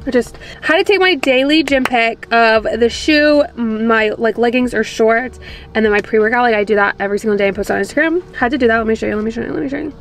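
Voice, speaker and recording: A woman's voice: a little high, quick pace. A woman in her twenties chatting casually in a vlog, bubbly and gushing, filming herself with a handheld camera at home and in her car. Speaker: lifestyle and fitness vlogger. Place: home kitchen and bedroom, later parked car. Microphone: handheld camera at arm's length.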